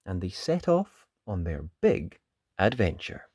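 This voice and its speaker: A storyteller reading a children's picture book aloud in an expressive, dramatic way. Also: deep voice, slow, breathy edge, no room sound, studio quality. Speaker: storybook reader. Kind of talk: animated children's read-aloud narration.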